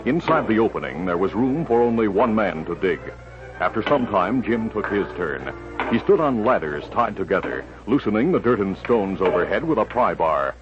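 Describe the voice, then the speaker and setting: Low male radio narrator, muffled old recording. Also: very animated, normal speed, music in the background. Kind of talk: dramatic scripted 1950s radio narration.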